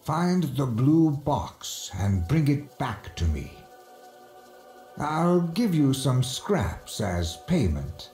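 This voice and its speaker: An actor playing a blunt, folksy islander who hands out errands in a video game. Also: male voice, mid-range voice, big pitch swings, very slow, a little mellow, roomy sound, faint music behind. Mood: businesslike, gruffly folksy. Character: curt islander man handing out errands. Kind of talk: video game character acting.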